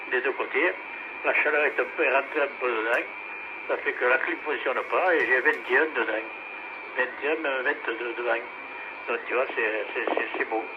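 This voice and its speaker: Tinny, noisy radio voice, rambling man. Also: medium pitch. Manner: rambling, repeats himself. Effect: two-way radio. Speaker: amateur radio operator. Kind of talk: casual radio chat.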